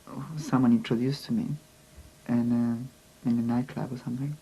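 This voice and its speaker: Mellow-voiced man with a Spanish accent. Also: mid-range voice, little pitch movement, breathy edge, poor audio. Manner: earnest, halting, with restarts. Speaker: immigrant musician. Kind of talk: documentary interview.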